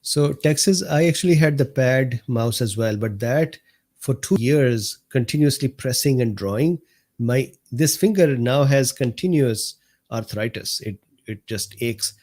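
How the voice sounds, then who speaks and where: A man with a mellow, slightly hoarse voice hosts a live stream from his desk mic. He reads viewer comments aloud in an unhurried, easygoing way. He laughs often and answers viewers by name. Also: mid-range voice, animated. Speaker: host of a live medical talk stream. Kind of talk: casual livestream chat reading viewer comments.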